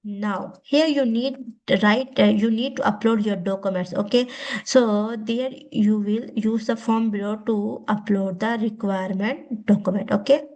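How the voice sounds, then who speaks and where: A woman with a Pakistani accent, a native Urdu speaker, giving an informal step-by-step tutorial in a halting, repetitive way with frequent okays. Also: mid-range voice, fairly even intonation, darkish tone, lo-fi sound. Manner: halting, repetitive, often says okay. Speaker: tutorial host.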